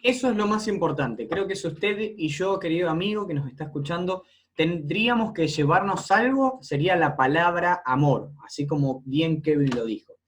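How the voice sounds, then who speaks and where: A man's voice: fairly high pitch, normal speed, slight background noise. Young Argentine male host. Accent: Argentine Spanish. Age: young adult. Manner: friendly, addresses listeners, hesitant fillers. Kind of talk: hosting a church lesson program.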